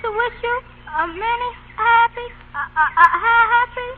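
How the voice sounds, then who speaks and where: An actress playing a shy, frightened little Southern servant girl in an old radio drama, timid and halting, with an American Southern accent. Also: extremely high pitch. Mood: nervous, tearful. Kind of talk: 1940s radio drama acting. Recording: very noisy, tinny old radio broadcast.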